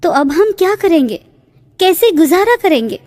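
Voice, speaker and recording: A woman's voice: very high voice, very animated, moderate pace, darkish tone. A woman playing a poor farmer's anxious wife in a cartoon story.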